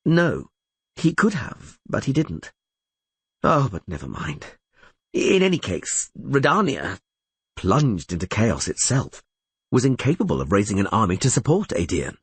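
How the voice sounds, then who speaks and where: A man voicing a male bard who recounts grim news in an expressive, grave way in an audiobook. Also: on the low side, a little slow, breathy edge, no echo, heavily acted. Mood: grave recounting.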